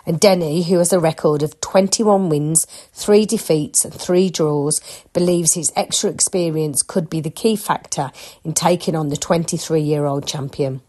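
Low female news reader, bunged up with a cold, plain steady delivery. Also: moderate pace. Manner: plain steady reading with asides describing photos. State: congested, short of breath from a cold. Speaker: newspaper reader for listeners. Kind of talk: reading news articles aloud.

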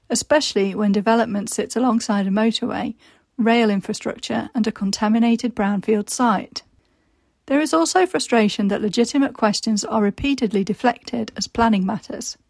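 Female reader, fairly high voice, dry close recording, careful measured delivery. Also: darkish tone, dry recording. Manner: measured, deliberate reading, slowing on lists. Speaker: newspaper reader for listeners. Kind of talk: reading articles and a reader's letter aloud.

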